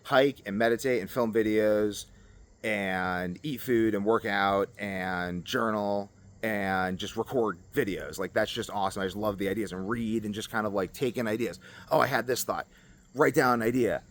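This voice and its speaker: A man's voice: fairly low pitch, moderate pace, fairly bright tone. A man vlogger talking off the cuff in a casual monologue, rambling as he thinks aloud. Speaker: YouTube vlogger.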